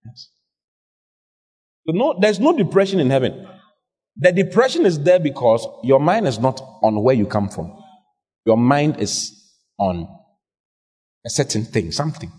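Animated preacher in a reverberant hall. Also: male voice, mid-range voice, breathy edge. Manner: animated, call-and-response with the congregation. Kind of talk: lively sermon. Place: church hall.

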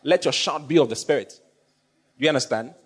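A man's voice: on the high side, fairly quick, slightly rough. A man preaching a sermon, repeating phrases for emphasis and questioning his congregation. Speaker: pastor.

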